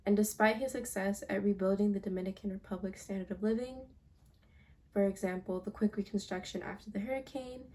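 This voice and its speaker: A young woman giving a class presentation over slides, speaking casually with some halting and fillers. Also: mid-range voice, fairly even intonation, a little mellow. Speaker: student presenter.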